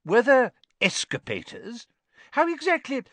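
A man reading aloud voices an eager, excitable middle-aged wizard father whose questions shoot upward. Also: high voice, normal speed, no room sound, pitched above the performer's own voice.

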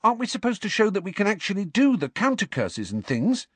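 An incredulous teenage girl in an audiobook, voiced by a man, set high with very animated pitch. She is bold and insistent, pressing her questions with indignation. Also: brisk, breathy edge, no echo, heavily acted. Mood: questioning. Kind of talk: audiobook character voice.

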